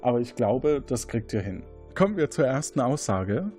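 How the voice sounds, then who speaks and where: A man's voice: medium pitch, big pitch swings, moderate pace, darkish tone, soft background music. A man hosting an audio quiz game, presenting statements and questions to contestants. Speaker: quiz show host.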